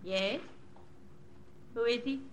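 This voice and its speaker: An actress playing a cool, soft-spoken woman who mocks her husband, in an old radio drama. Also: fairly high pitch, slow pace, a little hiss or hum. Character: French woman, cool and teasing.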